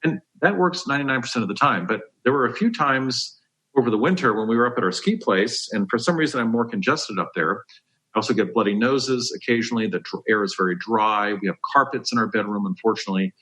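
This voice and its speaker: A man's voice: lowish voice, quick pace, sharp tone, poor audio. A man giving health advice as a dentist on a podcast, explaining step by step in an emphatic, conversational way.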